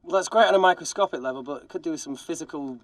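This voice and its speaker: A man's voice: a little high, very fast, natural voice. An actor playing a flippant, wisecracking male scientist in a sci-fi film, talking in a casual, natural way. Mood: wry. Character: breezy, wisecracking male scientist back from a break.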